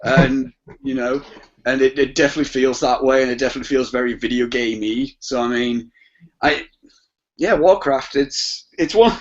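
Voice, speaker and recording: A man chatting casually about films as a podcast guest, rambling and often rephrasing himself. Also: medium pitch. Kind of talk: casual film discussion. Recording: dull lo-fi audio.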